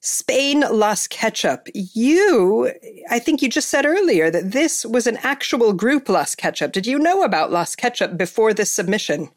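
A woman's voice: fairly high pitch, very animated, measured pace. A woman hosting a podcast, chatting casually and enthusiastically, with lots of fillers and restarts. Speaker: podcast host. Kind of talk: casual chat.

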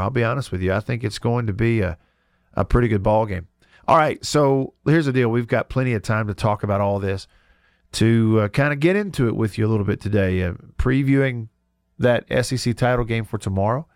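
A man's voice: medium pitch, fast, no room sound. A man hosts a sports talk show on the radio, his voice stuffy and congested from a cold. He keeps it easygoing and chuckles often, restarting his sentences as he goes. The studio sound is dry and close. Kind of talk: casual radio chat.

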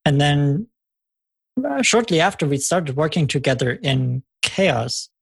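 A podcast host leading a conversational interview, thanking the guest and asking questions with small halting restarts. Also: mid-range voice, animated, gravelly, breathy edge.

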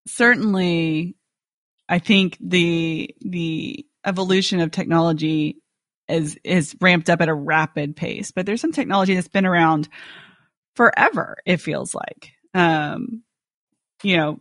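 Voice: medium pitch, pushed and bright, no room sound. Agreeable podcast guest, dry close sound. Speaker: podcast guest, insurance software company staff. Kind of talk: casual industry chat.

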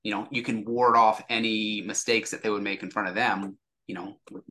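A man's voice: fairly low pitch, fairly even intonation, moderate pace, sharp tone, a little hiss or hum. A man speaking as an interview guest, a sales executive explaining things casually and acting out example dialogue.

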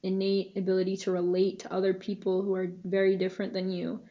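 A woman's voice: mid-range voice, completely flat delivery, fast talker, lo-fi sound. A young woman speaking as a podcast guest, thoughtful and earnest, with hesitant fillers. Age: young adult. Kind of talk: casual podcast interview.

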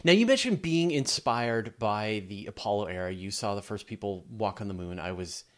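A middle-aged male interviewer with a fairly low voice and very lively, swooping intonation. He talks conversationally, thinking aloud through long questions. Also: moderate pace. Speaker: interviewer, podcast host.

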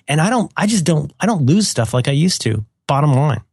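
Slightly hoarse man in casual chat. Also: a little high, very animated, brisk, darkish tone, dry recording, studio quality. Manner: rambling, self-deprecating, with restarts. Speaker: podcast co-host.

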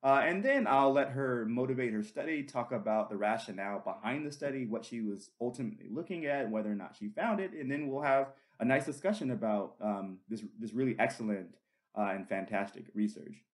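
A man's voice: mid-range voice, brisk. A middle-aged man hosting a casual chat, earnest and full of fillers and restarts. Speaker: podcast host.

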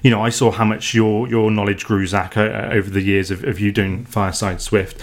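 A man with a fairly low voice talks casually on a podcast. He pauses often and restarts his sentences. His delivery is easygoing. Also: little pitch movement. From United Kingdom. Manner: hesitant, many ums and restarts. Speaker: podcast co-host.

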